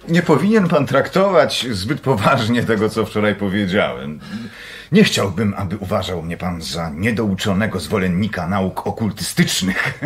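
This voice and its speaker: An actor playing a secretive, intense doctor in a radio drama, speaking in a measured, formal and persuasive way. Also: male voice, lowish voice, animated, breathy edge. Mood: confiding. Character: secretive, intense doctor studying occult visions.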